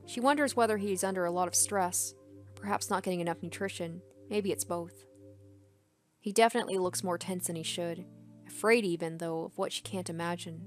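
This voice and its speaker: A woman narrating a visual novel aloud as a let's player, expressive, with casual commentary. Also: on the low side, fairly quick. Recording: background music under the voice. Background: game music.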